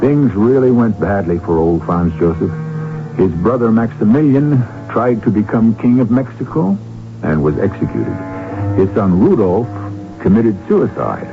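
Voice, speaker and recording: A man's voice: fairly low pitch, big pitch swings, unhurried. A man with an American accent hosting a radio drama with dramatic, emphatic scripted narration. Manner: theatrical, emphatic. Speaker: host. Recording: muffled old radio broadcast with music.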